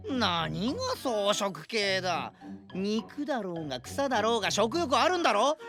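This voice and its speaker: A woman playing a brash, crude young man with a rough, mocking way of talking in an anime audio drama. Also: medium pitch, very animated, a little slow, a bit bright, soft background music. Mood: brash, goading. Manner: rough, mocking, provocative speech.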